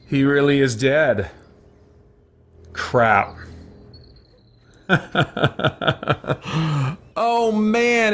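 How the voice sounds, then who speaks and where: A man's voice: on the high side, fairly bright tone. A man hosting casual let's play commentary, thinking aloud with mild exclamations as he plays. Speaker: gaming channel host and player. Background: game music.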